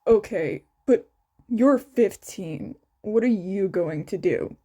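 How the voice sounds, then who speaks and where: Storybook teenage boy, acted by a woman: deadpan, exasperated, dry, unhurried delivery. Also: medium pitch, breathy edge, voice pushed down.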